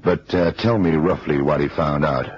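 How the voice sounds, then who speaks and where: Very deep-voiced laconic investigator boss. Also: male voice, moderate pace, slightly rough, exaggerated voice. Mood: wry, businesslike. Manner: clipped, dry, casually commanding. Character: laconic, dry-witted investigator boss, unhurried and in command. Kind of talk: radio drama acting. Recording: muffled old radio recording, faint noise.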